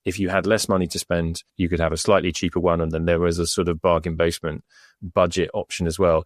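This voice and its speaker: Male expert co-host, very low voice, dry close sound, thoughtful with ums. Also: no room sound. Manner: thoughtful, hesitant with fillers and restarts. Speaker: Egyptologist co-host. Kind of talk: casual explanatory podcast chat. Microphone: remote over the internet.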